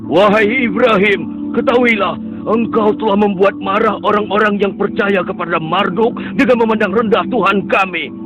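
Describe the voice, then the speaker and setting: A man's voice: sits high, moderate pace, lo-fi sound, over music, close to an everyday voice. A man voicing a defiant male idol worshipper who challenges the prophet in a dubbed cartoon.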